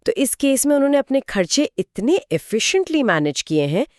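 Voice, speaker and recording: A woman's voice: sits high, sing-song, normal speed, darkish tone, no room sound, clean studio sound. A woman co-hosting a conversational explainer, speaking inquisitively and mixing English terms into her speech. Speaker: co-host of a financial explainer discussion.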